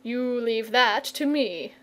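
A woman's voice: fairly high pitch, takes it slowly. A woman voicing a confident, patient knight in a storybook, earnest, courteous and persuasive. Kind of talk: storybook character reading.

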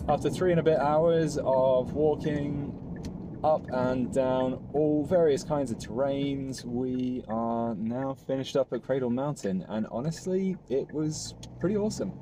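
A man in his thirties, a travel vlogger, casually recapping a hike from inside a car, chatty and relaxed. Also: mid-range voice, unhurried, over music. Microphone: dashboard camera mic. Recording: noisy car interior with air conditioning.

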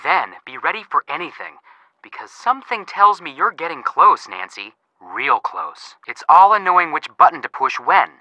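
A man's voice: on the high side, big pitch swings, normal speed, bright, forceful tone, no echo, muffled recording, full character voice. An actor voicing a wry, teasing man who hands out playful clues in a video game. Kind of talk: video game voice acting, hint dialogue.

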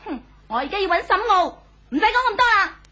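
A volatile, jealous wife in an old radio play. Her voice is extremely high and swings widely, shrill and combative, on a noisy tape. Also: sing-song, fast. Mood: taunting, demanding. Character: jealous, volatile married woman, desperate and combative. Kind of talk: 1970s radio drama acting.

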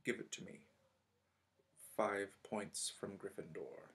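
A cold, spiteful schoolteacher, as a male storybook narrator puts him on, speaking slowly in a clipped sneer. The voice sits a little low and is held back, with a dry edge. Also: steady tone, creaky.

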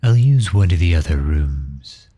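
A man's voice: deep voice, expressive, a little slow, warm tone, breathy edge, full character voice. A man playing a refined, courteous villain and inventor in an intimate audio roleplay, speaking formally and solicitously, as if musing aloud. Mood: apologetic, courteous. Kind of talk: intimate audio roleplay, ASMR-style acting.

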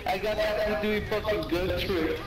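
A man's voice: high voice, fairly even intonation, normal speed, over loud music. A man chatting casually with teammates while gaming, joking crudely in slang and swearing freely. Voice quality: self-described big voice. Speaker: online shooter player chatting with teammates. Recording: very noisy game voice chat.

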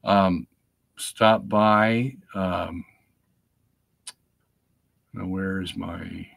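A middle-aged man talks casually to his viewers from a home study, hoarse from a cold that won't clear. His lowish voice is gravelly and dry. He keeps tossing in "okay?" as he goes. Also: steady tone, extremely slow, fairly bright tone, dry recording. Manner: chatty, repeats "okay?" to check in with viewers. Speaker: host of a live stream. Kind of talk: casual live-stream talk. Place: home study lined with bookshelves.

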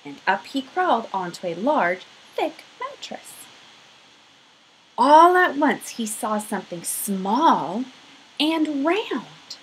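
A woman's voice: sits high, very animated, takes it slowly, husky, low-quality recording. A woman in her thirties reading a children's storybook aloud expressively, stretching key words, at home. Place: small room at home.

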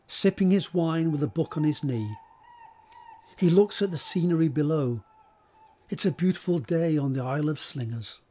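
A man's voice: a little high, mellow. A man reading scripted stage directions as the narrator of an audio drama.

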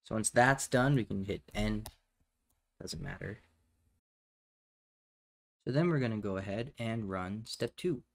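A man with a fairly low voice walks through a software tutorial. He speaks in a plain, instructional manner, explaining things step by step as the presenter. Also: animated, unhurried, gravelly, pauses often. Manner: matter-of-fact, instructional.

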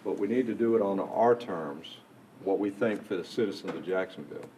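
A man's voice: low voice, brisk, mellow, a little hiss or hum. A man on a city council, making a rambling, persuasive case during debate into a desk microphone. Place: council chamber.

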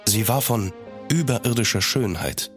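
An actor playing an older French marquis, a courtly, grave and measured storyteller in a German audio drama. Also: male voice, lowish voice, faint music behind, natural voice. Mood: ominous, reminiscing.

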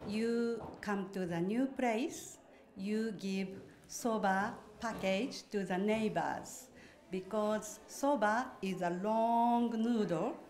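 Older lady talking slow and careful, simple words, echoey room. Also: mid-range voice, a little mellow. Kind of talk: casual explanation to camera. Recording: roomy hall echo.

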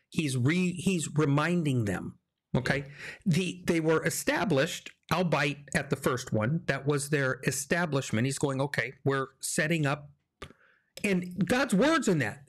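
An American man in his fifties hosting a casual religious discussion, often repeating and rephrasing as he makes his points emphatically. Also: a little high. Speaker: discussion host. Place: small indoor home studio, video call. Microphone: desk microphone up close.

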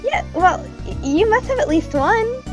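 A woman's voice: extremely high pitch, lively intonation, measured pace, over music, voice pushed up, rough recording. A woman voicing a breezy, bossy teenage girl stage magician in a comedic game fandub, chirpy and teasing.

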